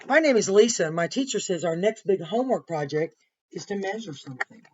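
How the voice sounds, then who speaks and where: A woman reading aloud as an eager schoolgirl who chatters to her dogs in a storybook, with an expressive delivery. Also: medium pitch, a little fast, slightly rough, lo-fi sound. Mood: eager, bossy. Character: eager schoolgirl measuring her dog for homework.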